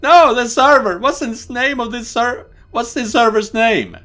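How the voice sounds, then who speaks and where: A man voicing a frantic, whiny, lustful young man in a comedic animated story, pleading and yelling excitably. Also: very high-pitched, slow, faint music behind, pitched above the performer's own voice, exaggerated voice. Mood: frantic protest.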